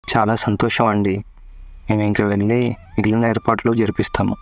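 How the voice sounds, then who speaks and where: A man's voice: fairly low pitch, little pitch movement, brisk, no echo, close to an everyday voice. A man playing a sensible, traditional-minded village uncle in a cartoon story, firm and respectful. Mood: pleased. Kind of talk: Telugu cartoon story dialogue.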